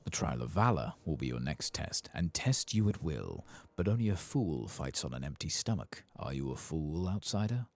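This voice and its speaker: Man putting on a gravelly, hearty old sage. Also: extremely low pitch, sing-song, moderate pace, hardly any pauses, full character voice. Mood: hearty, solemn. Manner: declamatory, sage, formal. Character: hearty old male trial guide and storyteller.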